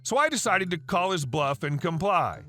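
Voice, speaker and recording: A man reading story posts aloud as narrator, in an even, unhurried way. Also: high voice, faint music behind.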